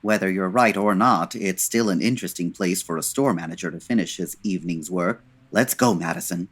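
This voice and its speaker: Man acting as suave 1940s gentleman detective, very low voice, dry wit, formal, unflappable, radio mystery comedy. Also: a little fast, slightly rough. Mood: alert, calm. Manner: dry, urbane, formal wording.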